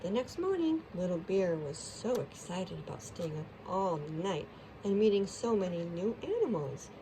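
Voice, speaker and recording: A woman's voice: on the low side, big pitch swings, unhurried, background noise. A woman storyteller narrating a children's storybook expressively.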